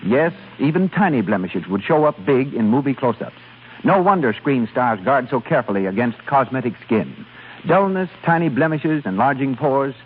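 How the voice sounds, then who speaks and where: A man's voice: mid-range voice, big pitch swings, moderate pace, a little hiss or hum. A man reading a scripted advertisement as a 1930s radio announcer. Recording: tinny 1930s radio broadcast.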